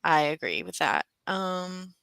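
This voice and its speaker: A woman co-hosting a book club livestream, her voice bright and forceful. She chats casually, reads out comments and thinks aloud with plenty of fillers. The sound is dry and close. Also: deep voice, very animated, takes it slowly, creaky, clear, resonant tone, no echo. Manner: chatty, thinks aloud, frequent fillers and restarts. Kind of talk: casual chat, reading out viewer comments.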